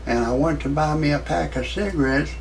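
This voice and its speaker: Male, 60s, gravelly and slightly high-pitched, folksy rambling commentator, noisy home recording. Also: far from the mic. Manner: folksy, repetitive, halting delivery. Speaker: amateur online video commentator. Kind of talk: rambling off-the-cuff commentary with jokes.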